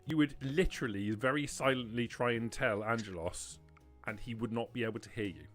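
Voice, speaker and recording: A man's voice: medium pitch, a little fast, fairly bright tone. A man running a tabletop roleplaying game as game master, narrating scenes and explaining the rules. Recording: music behind the voice.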